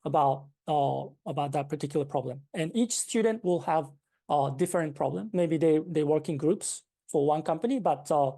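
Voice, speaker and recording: A Japanese-accented woman in her thirties explains her ideas as an interview guest, pausing often on fillers and restarting her sentences. Her voice sits low and sounds soft and mellow. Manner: hesitant, many fillers and restarts. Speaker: startup founder, guest.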